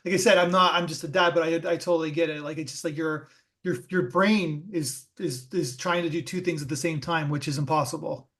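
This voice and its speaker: A man hosting a film podcast, asking rambling, halting questions full of fillers. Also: on the high side, steady tone, a bit bright. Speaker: podcast host. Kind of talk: casual interview.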